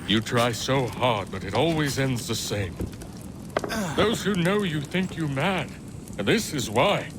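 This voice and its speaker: A man's voice: medium pitch, lively intonation, noisy background, close to an everyday voice. A man playing a cold, scornful enemy. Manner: measured, contemptuous, slow and deliberate. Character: cold, scornful man confronting his foe. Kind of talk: video game cutscene acting.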